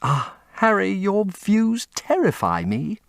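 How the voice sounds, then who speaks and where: A man voicing an impressionable, earnest young English gentleman with an upper-class accent and florid manner, in an audiobook. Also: high-pitched, wildly swooping pitch, takes it slowly, creaky, no echo, clean studio sound, pitched above the performer's own voice, full character voice. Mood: ardent, excited. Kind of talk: audiobook character reading.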